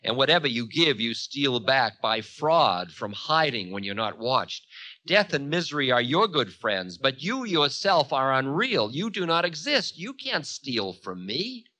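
A man's voice: mid-range voice, sing-song, a little slow, pushed and bright, dry recording, pitched above the performer's own voice. A man voicing a mocking, fearless demon king who makes scornful, grandiose declarations in a mythological story.

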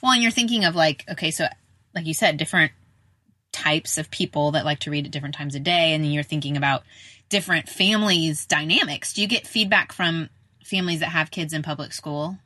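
A woman's voice: low voice, brisk, sharp tone, no room sound. A middle-aged woman hosting a podcast, chatting informally in a casual interview style. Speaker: podcast host, speech-language pathologist.

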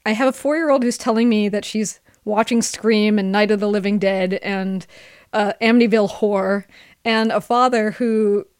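A woman's voice: mid-range voice, steady tone, normal speed, clean tone, no echo. Woman podcast host, close dry sound. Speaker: podcast host interviewing a friend. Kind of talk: conversational podcast interview.

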